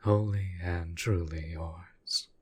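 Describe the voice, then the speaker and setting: A man playing a devoted, lovestruck demon bound to a summoner, husky and breathy, speaking in formal, courtly phrases in an intimate audio roleplay. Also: low voice, fairly even intonation, takes it slowly, heavily acted. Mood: adoring, sultry.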